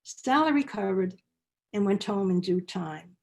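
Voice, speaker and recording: A woman telling a holiday memory as an expressive, theatrical storyteller. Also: medium pitch, a little slow. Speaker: storyteller, actor.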